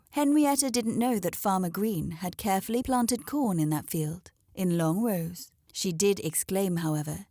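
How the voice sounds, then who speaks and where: A woman's voice: medium pitch, darkish tone, no echo. Woman reading a children's story, dry close sound. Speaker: storybook narrator. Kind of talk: scripted children's story read-aloud.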